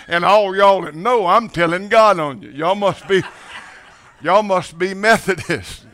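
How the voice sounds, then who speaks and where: A man from Mississippi with a Southern accent preaching as a guest. He tells folksy joke stories to a congregation, halting and repeating words and chuckling often. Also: sits high, fairly bright tone. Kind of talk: folksy storytelling sermon with jokes. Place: church sanctuary with congregation.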